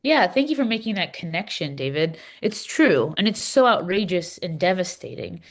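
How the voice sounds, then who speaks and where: A woman's voice: fairly low pitch, big pitch swings, normal speed. A woman in a readers theater playing an impassioned Palestinian advocate, speaking in an earnest, emphatic, declarative way. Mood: earnest, indignant. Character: impassioned Palestinian woman explaining a church document. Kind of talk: scripted readers theater dialogue.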